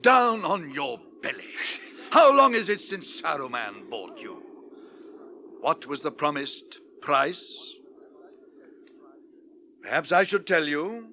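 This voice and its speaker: An older actor with a British accent playing a stern, imperious old wizard in a theatrical fantasy radio play. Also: male voice, a little high, very animated, bright, forceful tone, a little hiss or hum, full character voice. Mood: scornful, thundering. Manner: imperious, measured, declamatory.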